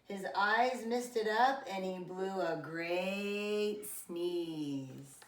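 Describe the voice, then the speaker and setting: A middle-aged woman reading a children's picture book aloud in an expressive, deliberate way with dramatic emphasis, like a preschool teacher. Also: mid-range voice, recorded from a distance. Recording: roomy echo, away from the mic.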